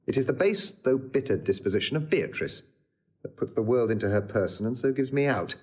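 Actor as a blustering witty bachelor lord, swooping pitch. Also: male voice, lively intonation, a little fast, a little mellow, natural voice. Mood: brooding, indignant. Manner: theatrical, blustering, exaggerated. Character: witty wounded-proud young bachelor lord, railing at a lady. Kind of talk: classical Shakespeare stage acting.

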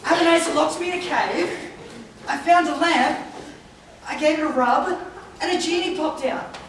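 A woman playing an excitable young hero in an amateur stage pantomime, gushing and eager. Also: high voice, moderate pace, close to an everyday voice. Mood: excited. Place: large theatre stage. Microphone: far camera. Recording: echoey hall from far off, loud noise.

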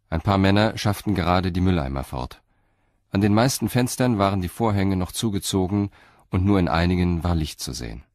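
A man's voice: deep voice, darkish tone, dry recording, studio quality. A man reading scripted narration for an audio drama.

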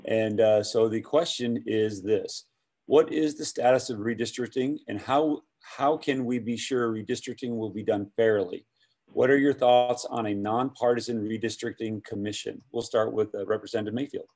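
An American man moderating a public forum on a video call, reading out questions in a deliberate, halting way. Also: mid-range voice, steady tone, a little mellow.

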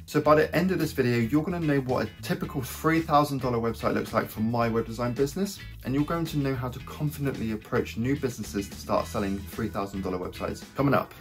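A man in his thirties speaks at a middle pitch, his voice a bit croaky after a cold. He talks upbeat and direct to camera from a small home office, calling his viewers "guys". Faint guitar music plays softly underneath. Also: quick pace, hardly any pauses. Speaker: web design channel host. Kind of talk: scripted talking-head tutorial.

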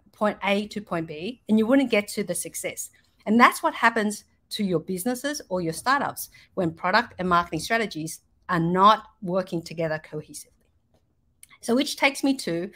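A middle-aged woman with a medium-pitched voice gives an online business presentation. She explains things step by step in a conversational way, often adding little check-ins to the listener. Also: a bit bright. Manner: explanatory, checking in with "right?" and "you know". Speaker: startup marketing speaker. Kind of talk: slide presentation to an online audience. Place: indoors, on a video call.

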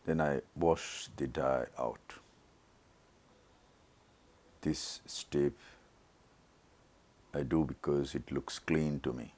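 A man narrating his own eye surgery as a teaching lesson, his voice rough from a throat infection, explaining each step in a measured way. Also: rumbling low, fairly even intonation, a little mellow. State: recovering from a throat infection, voice not normal. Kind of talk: slow surgical teaching narration.

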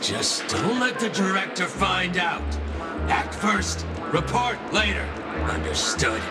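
High-voiced, brash team leader. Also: male voice, sing-song, takes it slowly, heavily acted. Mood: brash, commanding. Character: brash, officious corporate security team leader. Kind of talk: game voice acting. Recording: loud music under the voice.

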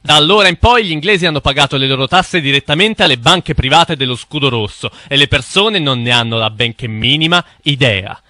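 A man's voice: a little high, expressive, brisk, few pauses, pushed and bright, no room sound, clean studio sound. A man playing an intense, bitter cartoon crusader with a grave, preachy, dramatic delivery. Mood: grave, indignant. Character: intense, bitter conspiracy-minded man on a crusade against bankers. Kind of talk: dubbed cartoon dialogue.

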